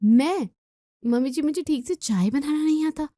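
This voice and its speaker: A woman's voice: high-pitched, expressive, normal speed, dark tone, no room sound, clean studio sound, voice pushed up. A woman voicing a lazy young daughter-in-law who protests and makes whiny excuses in a storybook tale. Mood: protesting.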